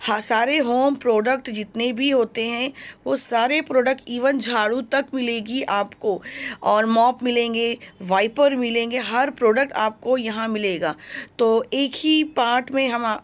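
A woman's voice: on the high side, measured pace. A woman vlogger walking through a kitchenware shop, talking eagerly and promotionally about the products. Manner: enthusiastic, repetitive praise, promotional. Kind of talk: shopping vlog walkthrough. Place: crowded kitchenware shop, indoors.

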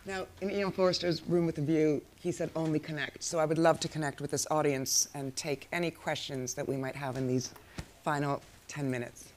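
A woman chairing a festival panel, brisk and polished as she takes audience questions. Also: low voice, fairly even intonation, darkish tone, a little hiss or hum. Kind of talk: moderating a panel.

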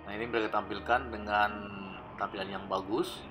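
A man narrating his old travel footage in a relaxed, explanatory voice-over, often pausing with fillers. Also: on the low side, rough recording. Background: background music.

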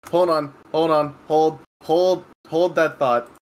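Man, American, young adult, slightly high voice, podcast host, joking, swears a lot. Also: fairly even intonation. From United States. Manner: joking, swearing, quick bursts of laughter. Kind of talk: casual podcast chat.

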